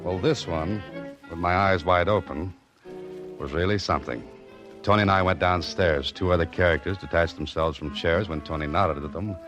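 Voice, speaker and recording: A man plays a hard-boiled detective who cracks wise and narrates his own story. His voice is very deep and his delivery is dry. Faint music plays under parts of this old radio recording. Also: moderate pace, lightly acted. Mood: narrating. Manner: wry, hard-boiled, wisecracking. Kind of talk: 1940s radio acting.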